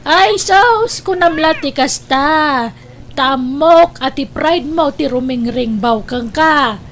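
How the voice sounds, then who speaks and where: Radio drama role: nagging older mother, high-pitched, exclamatory, advising a grown son. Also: takes it slowly, a bit bright, a little hiss or hum, heavily acted. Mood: exasperated, advising. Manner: scolding, exclamatory, persuasive. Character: exasperated older mother counselling her stubborn grown son. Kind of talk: Ilocano radio drama acting.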